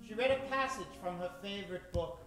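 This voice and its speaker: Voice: mid-range voice, a little fast, over music. A narrator telling a story in a dramatic, theatrical old-time radio style. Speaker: narrator and announcer. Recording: very echoey live stage.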